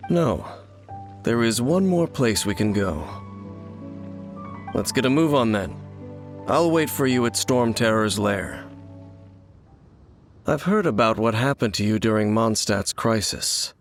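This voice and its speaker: A solemn, world-weary male knight from a video game. His voice sits fairly low, and he speaks formally and with measured reserve. Music plays clearly behind him. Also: expressive. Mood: grave, reserved. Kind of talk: video game dialogue acting.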